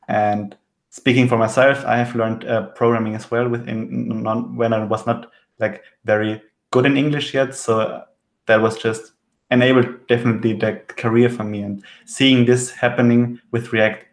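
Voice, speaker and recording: A man whose first language is German, talking in a measured, thoughtful way as a panelist in a casual tech discussion. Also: medium pitch, roomy sound. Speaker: podcast panelist.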